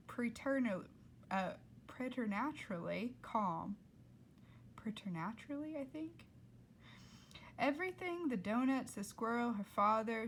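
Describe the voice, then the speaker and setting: A woman reading a children's novel aloud in a dramatic, expressive storytelling style. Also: a little high, slight background noise. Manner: dramatic, with emphatic character voices.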